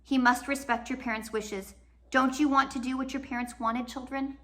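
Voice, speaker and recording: Woman reading a gentle, motherly lady judge. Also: high-pitched, steady tone, normal speed. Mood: sad.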